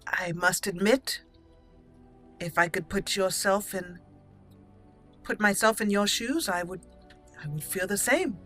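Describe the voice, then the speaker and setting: Woman, gameplay host, bright forward voice, improvised in-character roleplay, thoughtful, halting, slightly formal, background music. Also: medium pitch.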